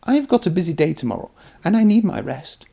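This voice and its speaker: A man's voice: high voice, sing-song, a little fast, creaky, dark tone, slightly rough, pitched above the performer's own voice, exaggerated voice. A man voicing a cheeky but easily scared small tank engine in a children's storybook, perky and peeping. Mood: timid, evasive.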